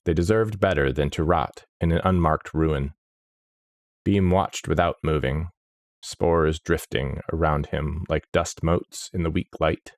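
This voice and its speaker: A man narrating a dark fantasy story in a measured, grave manner. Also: rumbling low, steady tone, dry recording, clean studio sound. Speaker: audiobook narrator.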